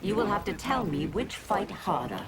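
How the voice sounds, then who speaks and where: A woman's voice: mid-range voice, sing-song, moderate pace, crowd noise, poor audio. An actress voicing a battle-tested, steely female fighter in a video game, cool and serious. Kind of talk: scripted fighting game dialogue. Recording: loud music behind game dialogue.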